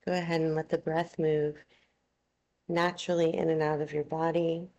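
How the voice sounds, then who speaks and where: A woman teaching yoga nidra, leading a guided relaxation in a soothing, unhurried, gently instructing manner. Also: low-pitched, little pitch movement, highs cut off.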